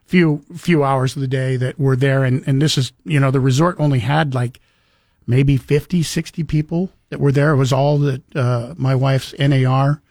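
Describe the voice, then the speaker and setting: A man's voice: medium pitch, steady tone, normal speed, vocal fry, a little mellow, dry recording, studio quality. A man co-hosting a casual morning radio show, chatty and storytelling, laughing often. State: recovering from lost voice.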